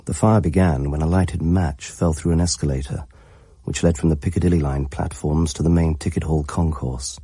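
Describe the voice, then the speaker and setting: An actor playing a grave chronicler of disasters, giving measured, factual scripted narration in a radio drama. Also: male voice, a bass voice, steady tone, warm tone, breathy edge, no echo, clean studio sound.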